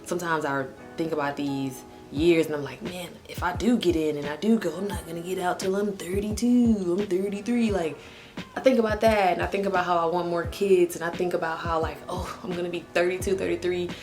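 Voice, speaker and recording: A woman in her late twenties with a notably low voice chats openly to her camera, rambling and candid with plenty of "like" and "um". Faint music plays softly under her talk. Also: fairly even intonation, fairly quick. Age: 20s. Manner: candid and chatty, heavy use of "like" and "um". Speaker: vlogger. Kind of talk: casual personal talk to camera.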